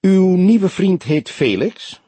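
A man reading an audiobook, playing a patient, wry old police detective who questions in a measured, probing, dryly mocking way. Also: high-pitched, very animated, warm tone, slightly rough, no echo, voice pushed up, exaggerated voice. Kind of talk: audiobook character dialogue.

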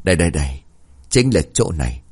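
Man doing a scheming geomancer, insistent, persuasive, very deep voice. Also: very animated, a little fast, slightly rough, heavily acted. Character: scheming middle-aged Chinese geomancer.

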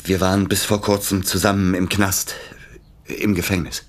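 An actor playing an uneasy ex-convict accomplice with a conscience, earnest and hesitant, in a radio crime drama. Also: male voice, low voice, little pitch movement, moderate pace, lightly acted. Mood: contrite, earnest.

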